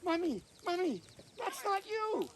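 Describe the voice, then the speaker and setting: Little baby raven, way high and squawky, bratty storybook chick, noisy outside, far mic. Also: male voice, big pitch swings, unhurried, exaggerated voice. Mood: alarmed, squealing. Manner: childish squawking, mangled words. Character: tiny raven nestlings, demanding, childish, begging. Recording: distant mic, noisy outdoor recording.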